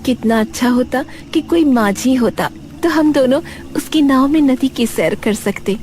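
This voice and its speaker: A man's voice: very high voice, a little slow, darkish tone, a little hiss or hum, voice pushed up. A man voicing a cheerful, curious young village woman in love in an audio horror story. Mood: dreamy.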